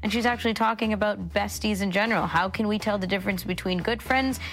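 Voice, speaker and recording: A woman's voice: medium pitch, quick pace, fairly bright tone, rough recording. A woman co-hosting a talk show in a casual broadcast style.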